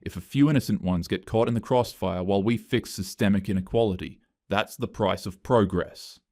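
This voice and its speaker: A man voicing a furious, defiant woman HR manager in a flat, even reading. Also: lowish voice, fairly quick, no echo, clean studio sound, natural voice. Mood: angry.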